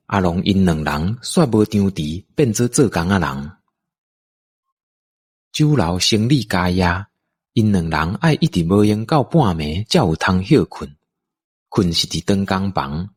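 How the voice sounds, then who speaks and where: A man's voice: deep voice, sing-song, a little slow, breathy edge. A man with a Taiwanese accent, narrating a scripted radio drama story.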